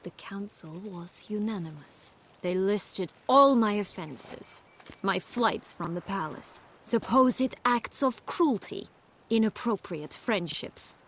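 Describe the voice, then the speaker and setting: A proud, wounded noblewoman in exile from a video game. She speaks slowly in a mid-range voice, with a deliberate, cutting bitterness. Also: medium pitch, expressive, breathy edge, exaggerated voice. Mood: resentful, hurt. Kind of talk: video game cutscene acting.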